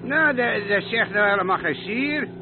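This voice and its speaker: A high-voiced, breezy, carefree driver. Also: male voice, animated, moderate pace. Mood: breezy, unworried. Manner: easygoing, dismissive. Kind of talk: radio drama acting. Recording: tinny noisy radio recording, motor rumble.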